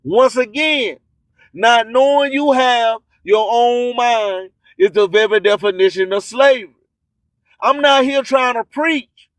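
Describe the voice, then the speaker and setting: A man's voice: extremely high pitch, measured pace, dry recording. A man hosting a radio show, delivering an emphatic, preachy motivational monologue full of streetwise slang and repeated rhyming slogans. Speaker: radio host.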